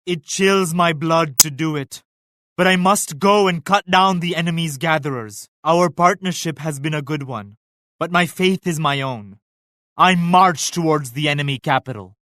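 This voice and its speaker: An actor playing a devout, regal Mughal emperor in a video game, speaking in a formal, grave and pious manner. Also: male voice, a little high, a bit bright, no room sound, clean studio sound. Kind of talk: scripted game character lines.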